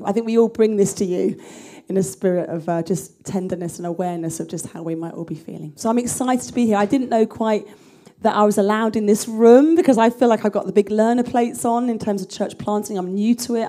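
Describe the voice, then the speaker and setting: A woman's voice: mid-range voice, a little fast, warm tone. A woman introducing herself earnestly on a church conference panel, speaking into a handheld mic in a large conference room. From England.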